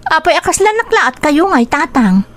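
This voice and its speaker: A woman's voice: high-pitched, big pitch swings, fairly quick. A woman playing a defiant young mother with an Ilocano accent in a radio drama. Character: defiant, irresponsible young mother talking back to father.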